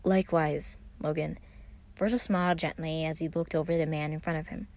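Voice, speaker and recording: A woman's voice: sits low, fairly even intonation, fast talker. A woman reading a scripted story aloud as its narrator. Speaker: fan fiction reader.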